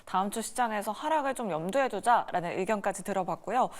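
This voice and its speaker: Female stock show host, lively intonation. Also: mid-range voice, quick pace. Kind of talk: live broadcast presenting, interviewing guests.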